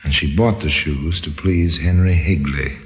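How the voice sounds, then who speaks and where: Very deep-voiced man, wry radio narrator. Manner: wry, deliberate, macabre relish. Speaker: narrator and host. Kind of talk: old-time radio mystery narration.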